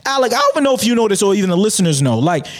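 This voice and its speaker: A man's voice: high-pitched, very animated, very fast. A young man from Boston ranting profanely in a casual sports chat, as a podcast co-host.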